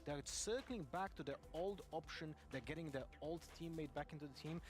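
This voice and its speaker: A man's voice: fairly high pitch, fairly quick, faint music behind. A man giving eager esports match analysis on a broadcast, running his phrases together.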